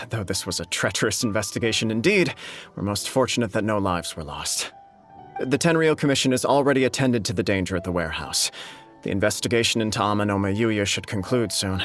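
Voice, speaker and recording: An actor playing a dignified, courteous samurai official in a video game, with formal and diplomatic speech. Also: male voice, on the low side, expressive, a little fast, a bit bright, soft background music. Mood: welcoming, formal.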